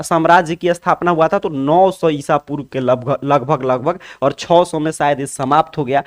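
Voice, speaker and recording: A man's voice: a little high, fairly bright tone. A man teaching an exam solution lesson, putting questions to students and answering them himself, repeating key phrases.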